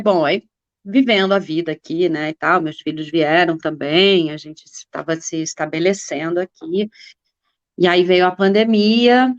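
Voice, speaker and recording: A woman's voice: medium pitch, dry recording, dull audio. A woman in her forties, a political activist guest, telling her life story in a rambling, casual way with many fillers and restarts. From Brazil.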